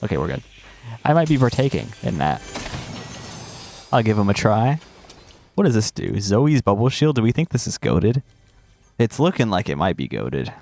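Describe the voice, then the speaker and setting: A man's voice: fairly low pitch, wildly swooping pitch, moderate pace, gravelly, pauses often, a little mellow, a little hiss or hum. A young man with a congested voice, chatting in a loose, rambling way while commenting on his gameplay. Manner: rambling and self-interrupting, drops slang. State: congested, recovering from a cold. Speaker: gaming streamer.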